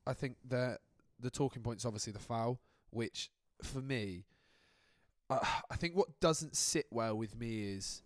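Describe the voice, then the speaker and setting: Lad on a football pod, dry close sound, loose and sweary, mid pitch. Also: male voice, measured pace, no echo. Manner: swearing, laughing, rambling restarts. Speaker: podcast co-host. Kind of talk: casual football chat.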